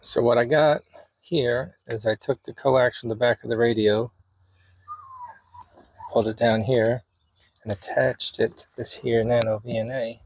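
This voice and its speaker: A man's voice: medium pitch, darkish tone, rough recording. A man giving a casual, hands-on demonstration as a hobbyist video host, with informal, folksy wording. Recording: clear background noise, ambient sound.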